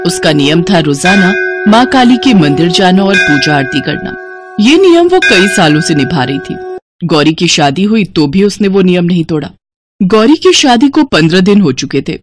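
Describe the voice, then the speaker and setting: A woman narrates a scripted cartoon tale. Her pitch sits on the low side for a woman, and music plays clearly behind her voice. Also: very animated, normal speed.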